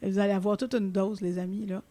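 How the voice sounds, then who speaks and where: A woman hosting an interview podcast, speaking with a Québécois French accent, effusive and quick to laugh. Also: mid-range voice, flat delivery, dark tone. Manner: effusive, laughs often, many restarts. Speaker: podcast host. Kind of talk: warm conversational interview.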